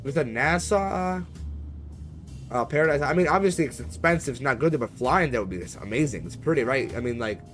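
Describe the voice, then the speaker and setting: A man's voice: medium pitch, animated, a little fast, fairly bright tone, faint music behind. A man chatting casually as he live-streams a flight simulator, in a rambling, slangy, conversational way. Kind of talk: casual live-stream chat while gaming.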